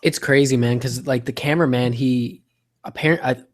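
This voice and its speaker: A man's voice: mid-range voice, fairly even intonation, moderate pace. A man co-hosting a podcast in casual chat, conversational and often repeating himself as he makes a point. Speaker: podcast co-host.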